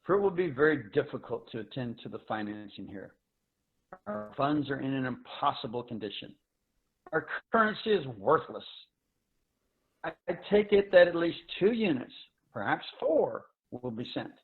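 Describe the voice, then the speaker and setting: A man's voice: medium pitch, big pitch swings, moderate pace. Phone-thin recording; male actor as an earnest, formal space commander in a pulp sci-fi radio-style reading. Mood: worried, hesitant. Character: earnest male space officer pledging to a faint-hope mission. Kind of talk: dramatic reading of pulp science fiction. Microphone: video call. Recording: thin phone-like video call audio.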